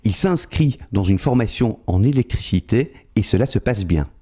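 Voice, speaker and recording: A man with a Belgian French accent narrates in a measured, explanatory way. His voice is mellow, and his pitch moves in a lively way. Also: slightly rough. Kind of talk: scripted documentary narration.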